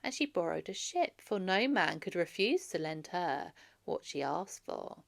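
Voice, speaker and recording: A woman's voice: on the low side, big pitch swings, a little slow, gravelly. A woman reading a story aloud for children as a narrator. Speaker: storybook reader.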